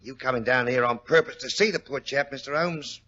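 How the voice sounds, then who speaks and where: An actor playing a deferential, nosy country constable in 1940s radio drama, with a folksy manner. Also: male voice, mid-range voice, a little fast, sharp tone. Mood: deferential, chatty. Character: chatty, deferential English village constable. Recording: dull old radio recording.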